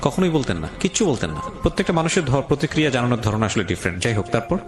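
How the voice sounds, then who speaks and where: A man's voice: medium pitch, big pitch swings, very fast. A man with a Bangladeshi accent hosting a conversational interview, asking probing questions and dropping in English words. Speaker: radio show host interviewing a guest. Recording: music under the voice.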